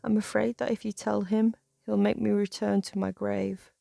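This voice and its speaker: A woman plays a seven-year-old boy in a horror tale, earnest and scared. He speaks hesitantly and pleadingly, pitched up from her normal voice, with soft music underneath. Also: level tone, normal speed, creaky, no room sound, clean studio sound. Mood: afraid, pleading. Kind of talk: acted dialogue in a narrated horror story.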